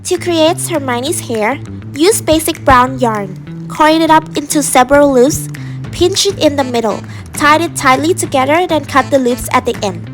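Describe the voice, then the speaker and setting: High-pitched young woman narrating over music. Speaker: tutorial narrator. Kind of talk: scripted craft tutorial narration. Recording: music behind the voice.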